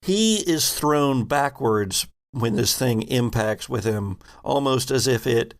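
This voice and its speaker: A man running a tabletop game as game master, improvising narration in a deliberate, descriptive way. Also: mid-range voice, lively intonation.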